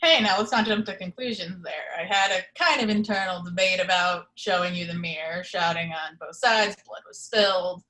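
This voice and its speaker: A man's voice: high voice, normal speed, hardly any pauses, pushed and bright, poor audio. Woman reading awkward, decent young guy. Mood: wry.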